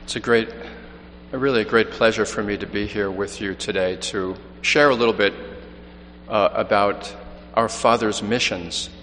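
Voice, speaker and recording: A man's voice: lowish voice, steady tone, moderate pace, a bit bright. A man from New York City, a missionary priest, reading the Gospel and then giving an earnest mission appeal homily with frequent restarts. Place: large church. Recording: long church reverb, faint hum.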